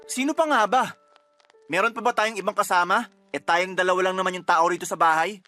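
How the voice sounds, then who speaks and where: A man's voice: sits high, fairly quick, sharp tone, dry recording, faint music behind. An actor playing an exasperated, protective new father in a Tagalog radio drama, scolding and insistent. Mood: strained, accusing.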